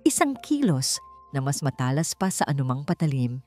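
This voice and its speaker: A woman with a low voice narrates a romance drama over music. Her delivery is measured and melodramatic, in the manner of scripted storytelling. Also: big pitch swings, warm tone. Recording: music behind the voice. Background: background music.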